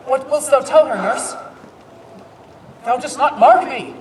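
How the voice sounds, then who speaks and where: A man playing an earnest, urgent young gentleman lover in a Shakespearean stage play. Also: very high-pitched, measured pace. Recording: distant echoey hall, background noise.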